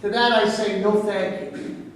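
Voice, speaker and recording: Earnest proud reporter guy actor reading a play, high voice, far from mic, faint noise. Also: flat delivery, measured pace, very echoey, big-room sound. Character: earnest, protective male news reporter, proud and persistent. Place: echoey room at a live staged reading.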